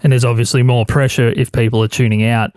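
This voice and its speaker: A man's voice: mid-range voice, level tone, fast talker, darkish tone, no echo. A man hosting a podcast interview, friendly and a bit rambling, in a studio. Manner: friendly, rambling, self-deprecating. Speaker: podcast host. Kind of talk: casual interview. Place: radio studio.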